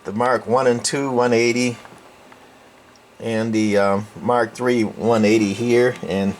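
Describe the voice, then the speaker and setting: A man with a medium-pitched voice explains things in an informal, hands-on demo style. His phrasing is folksy and halting. He is recorded indoors on a camera mic, with noticeable background noise. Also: little pitch movement. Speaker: channel host. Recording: clear background noise, room ambience.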